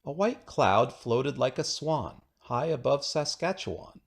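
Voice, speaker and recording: A man's voice: medium pitch, big pitch swings, unhurried, creaky. A man reading children's rhyming poems aloud in a playful, singsong, rhythmic way. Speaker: children's book reader.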